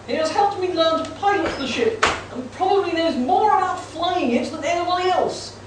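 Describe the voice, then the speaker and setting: A woman's voice: very high voice, moderate pace. A woman in an amateur radio play playing a stern, pompous old male professor and father with old-fashioned, chummy phrasing. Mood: genial, pompous. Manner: pompous, old-fashioned British upper-class turns of phrase. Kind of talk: amateur live comic radio play acting. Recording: very echoey hall, distant, faint noise.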